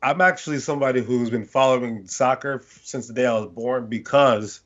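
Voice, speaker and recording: A man's voice: mid-range voice, fairly quick. A man born in London to a Nigerian family, co-hosting a sports podcast and telling a long, rambling story in casual chat. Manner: rambling, animated storytelling with restarts. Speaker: podcast co-host. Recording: slightly dull lo-fi podcast audio.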